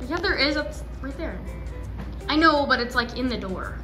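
An eighteen-year-old woman vlogging into a phone held at arm's length, chatting casually with a sarcastic, deadpan edge. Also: high-pitched, moderate pace, music in the background. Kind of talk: casual vlog chat. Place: car, then small hotel room.

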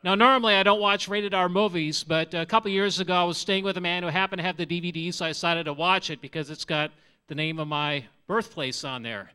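Bright-voiced Minnesota man preaching. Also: high voice, fairly quick, clean tone. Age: 40s. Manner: earnest and conversational, asks the audience questions. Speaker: missionary. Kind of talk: testimony from a church stage. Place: large church sanctuary with audience. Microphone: handheld microphone.

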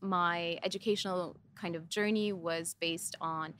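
A woman's voice: lowish voice, fairly even intonation, unhurried. Female podcast guest, 30s, from Jordan; thoughtful, conversational, with a faint room hum behind her. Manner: thoughtful, warm, frequent ums and soft laughs. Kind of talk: conversational interview answers. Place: podcast studio.

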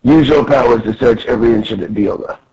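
A man voicing a grave older king from a comic, stately and solemn, with a husky rasp. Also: medium pitch, quick pace, a little mellow. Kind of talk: amateur comic read-aloud. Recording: dull lo-fi voice recording.

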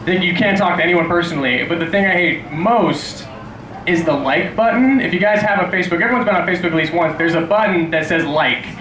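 A man's voice: high-pitched, brisk, a bit bright. A man in his twenties doing a sarcastic, profane stand-up routine at an open mic, ranting at his own expense. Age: 20s. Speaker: stand-up comedian. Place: small dim club stage, indoors. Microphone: handheld stage microphone. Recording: echoey room with clear background noise.

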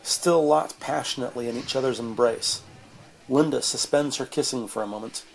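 A man's voice: mid-range voice, moderate pace, creaky, low-quality recording. A man narrating a scripted audio drama in a descriptive storytelling style.

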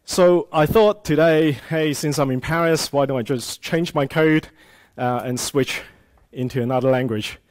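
A man's voice: a little high, normal speed. A man from Hong Kong, a native Cantonese speaker, giving a live conference tech talk in a casual style with many fillers. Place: conference room with audience.

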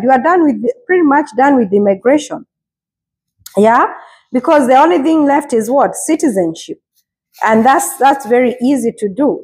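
A woman speaks in a husky, fairly high voice. She talks earnestly and with encouragement, repeating her points, while she washes and chops vegetables in a home kitchen. Her voice is picked up by a lapel mic. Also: a little mellow. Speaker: vlogger. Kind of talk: casual motivational vlog.

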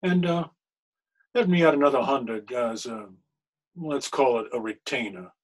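A man playing an anguished, scholarly clergyman in a period radio mystery, speaking with formal, deliberate diction. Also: mid-range voice, close to an everyday voice. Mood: cool, controlled. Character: anguished, scholarly older clergyman posing as crime boss.

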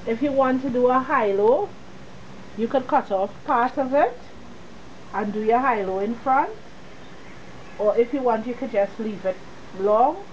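A fairly high-voiced woman with a cold gives a casual step-by-step sewing tutorial. She talks straight to the viewer, chatty and easygoing, with a faint hum of room noise behind her. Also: a little mellow, highs cut off. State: has a cold, sniffling.

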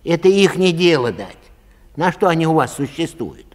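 A man's voice: on the high side, big pitch swings, normal speed, voice pushed up. A man playing a polite but unbudging lost-property clerk in a comic story, bureaucratic and colloquial. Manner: bureaucratic, colloquial, matter-of-fact. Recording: long hall reverb.

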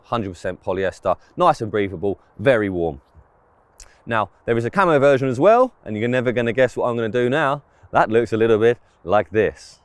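Clear-voiced man presenting a review. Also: mid-range voice, no echo. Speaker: presenter of fishing clothing reviews. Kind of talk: casual product review to camera. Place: outdoors in an open grass field.

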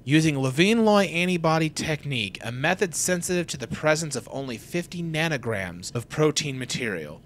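An actor playing a cool, precise geneticist in his thirties who explains in rapid technical terms. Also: fairly high pitch, animated, a bit bright, a little hiss or hum.

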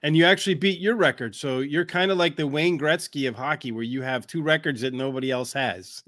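A man's voice: fairly high pitch, brisk, a bit bright. A man hosting a show, interviewing a guest over a video call with friendly leading questions. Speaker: show host.

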